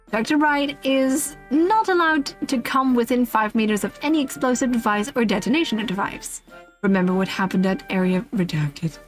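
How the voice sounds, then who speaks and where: A woman streamer reads aloud with an amused, casual delivery. Her voice sits a little high, and she slips playful asides into formal wording. Soft music plays underneath. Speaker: streamer reading a humorous list aloud. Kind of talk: casual livestream read-aloud.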